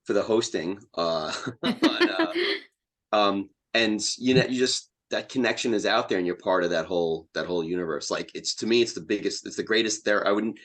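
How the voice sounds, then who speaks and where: A man's voice: fairly low pitch, big pitch swings, fairly quick, fairly bright tone. A man speaking as an interview guest in a casual, rambling and enthusiastic way. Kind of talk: casual chat.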